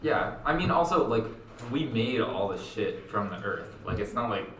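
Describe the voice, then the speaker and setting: A man's voice: fairly low pitch, lively intonation, normal speed, fairly bright tone, slight background noise. A man co-hosting a podcast and chatting casually with friends. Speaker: podcast co-host. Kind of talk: casual chat. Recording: echoey, distant mic, chatter behind.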